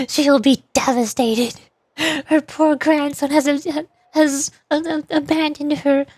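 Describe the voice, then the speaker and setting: A woman's voice: high voice, fairly even intonation, takes it slowly, a little mellow, no room sound. A woman giving casual, playful, rambling commentary as she streams a game and does amateur voice acting.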